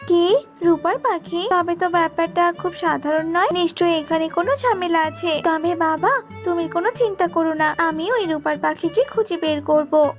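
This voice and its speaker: A determined young princess played by a woman speaks in a very high, earnest voice. She sounds confident and devoted, in storybook cartoon style. The recording is a little dull, with music underneath. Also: moderate pace. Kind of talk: children's cartoon fairy-tale acting.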